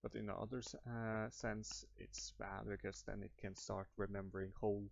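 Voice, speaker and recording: A young man with a Norwegian accent talks over his screen recording, drifting and restarting his sentences with plenty of fillers. His voice sits low, with a gravelly edge. The recording is dry and a bit muffled. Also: level tone, moderate pace, no echo. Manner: rambling, hesitant, many fillers and restarts. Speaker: YouTuber. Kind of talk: improvised screen-recording walkthrough. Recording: muffled dry home mic.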